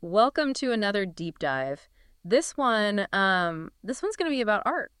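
A woman's voice: mid-range voice, sing-song, normal speed, a bit bright, clear, resonant tone, no room sound, clean studio sound. A woman hosting a casual podcast, explaining things conversationally. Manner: conversational, explanatory, some restarts. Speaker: podcast host.

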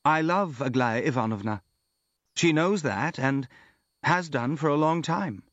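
A man's voice: fairly high pitch, animated, a little slow, a bit bright, dry recording, lo-fi sound, pitched above the performer's own voice. A man voicing an earnest, meek, lovelorn prince in an audiobook, with a hesitant, sincere manner. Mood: earnest, miserable.

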